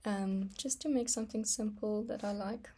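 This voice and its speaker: A woman's voice: mid-range voice, steady tone, moderate pace, mellow, slight background noise. A young woman whose first language is Afrikaans, rambling casually about her art in a vlog while stamping and sketching at her desk, with frequent ums and small laughs. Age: young adult. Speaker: artist vlogger.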